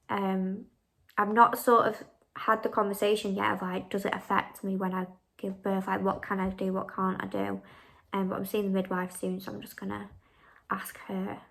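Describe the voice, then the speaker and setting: A woman's voice: medium pitch, vocal fry, a bit bright. A young woman chatting casually in a vlog, answering viewer questions with lots of fillers like "um" and "like". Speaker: vlogger.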